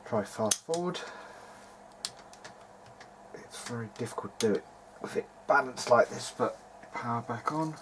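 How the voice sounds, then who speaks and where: A man's voice: mid-range voice, unhurried, pauses often, noisy background. A man informally talking through a hands-on repair in a video diary, hesitant and half muttering to himself as he works. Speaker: vintage hi-fi hobbyist narrating own repair video.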